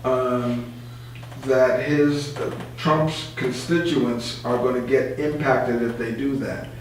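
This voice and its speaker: Halting man explaining in an echoey room. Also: medium pitch, fairly even intonation. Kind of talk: explanatory conversational talk. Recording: distant, very echoey room, faint noise.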